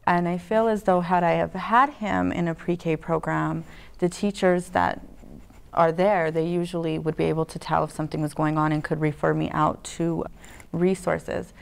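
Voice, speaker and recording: A woman's voice: sits low, fairly even intonation, moderate pace, vocal fry, clean tone. A young woman who is a parent on a studio panel, giving an earnest personal account of her children. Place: dark TV studio set. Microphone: lapel mic.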